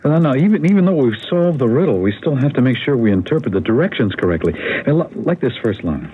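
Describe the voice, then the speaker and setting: An actor playing an eager, methodical, slightly stammering husband on a treasure hunt in a radio mystery drama. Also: male voice, mid-range voice, fast, close to an everyday voice. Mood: reasoning, excited. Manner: stammering, excitable. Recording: narrow-band, noisy radio drama audio.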